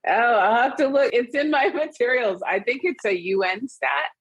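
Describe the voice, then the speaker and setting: A woman with a standard US accent, a guest in an interview, talking in an animated, chatty way and laughing often. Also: fairly high pitch, normal speed, breathy edge. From Trinidad and Tobago and Costa Rica, raised internationally. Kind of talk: conversational interview.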